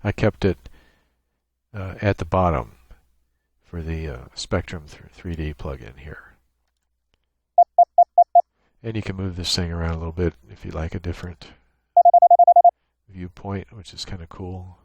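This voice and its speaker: Low-voiced man giving a technical walkthrough. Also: unhurried, pauses often. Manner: matter-of-fact, technical explaining. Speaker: software tutorial presenter. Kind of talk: unscripted screen-share walkthrough. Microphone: USB microphone with gate and compressor.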